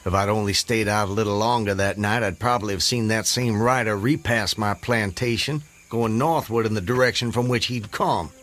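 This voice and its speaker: An actor playing a proud, resolute Southern planter of the Civil War in a radio drama, speaking formally and gravely. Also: male voice, lowish voice, fairly even intonation, moderate pace, few pauses, fairly bright tone, lightly acted. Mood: guarded, bitter. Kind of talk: radio drama acting, narrating and dialogue.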